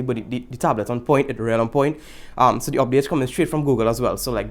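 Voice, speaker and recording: Young Trinidadian man, mid pitch, relaxed chatty tech-vlogger read with slangy asides. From Trinidad and Tobago. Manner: laid-back, chatty, repeats slang, jokes aside. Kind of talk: casual tech review.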